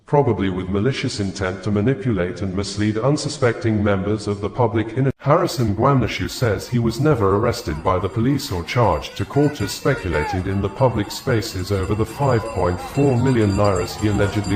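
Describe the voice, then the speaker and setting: A man reads the news from a script in a fairly low voice. His delivery is formal, carried along in long sentences. The recording is roomy, with clear echo around the voice. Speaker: news reader.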